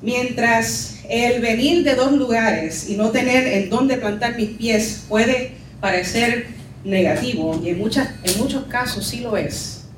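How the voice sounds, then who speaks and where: A woman pastor from Puerto Rico, raised in New York, with a Nuyorican Spanish accent, giving an earnest and measured conference talk. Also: on the high side, slight background noise. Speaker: pastor and lecturer. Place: conference hall. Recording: echoey hall, distant mic, faint music.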